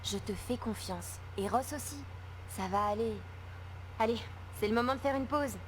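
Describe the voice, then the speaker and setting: A woman's voice: a little high, moderate pace, close to an everyday voice. An actress playing a young woman, a playful and supportive friend, in video game dialogue. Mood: reassuring. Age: young adult. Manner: teasing, reassuring. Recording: clear vehicle-like background noise.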